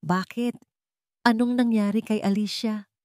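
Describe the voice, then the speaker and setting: A woman's voice: mid-range voice, fairly even intonation, measured pace, mellow, dry recording, clean studio sound, pitched above the performer's own voice, close to an everyday voice. Woman voicing a stern, intense rich young man. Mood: urgent, afraid. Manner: weighty, dramatic delivery.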